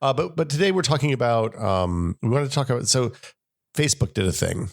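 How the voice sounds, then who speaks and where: A man's voice: mid-range voice, animated, a little fast, vocal fry. A man co-hosting a casual tech podcast, sounding scratchy from a summer cold, rambling with many restarts and fillers. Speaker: podcast co-host.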